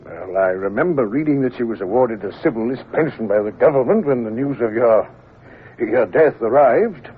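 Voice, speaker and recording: An actor playing a commanding senior naval captain who talks warmly and briskly. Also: male voice, medium pitch, expressive, slightly rough. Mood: hearty. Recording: tinny, hissy old radio broadcast.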